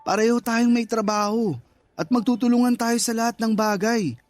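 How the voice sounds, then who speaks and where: An actor playing a hurt, insistent young boyfriend who presses with frustrated questions in a radio drama. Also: male voice, very high-pitched, fairly even intonation, normal speed, soft background music. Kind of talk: Tagalog radio drama acting.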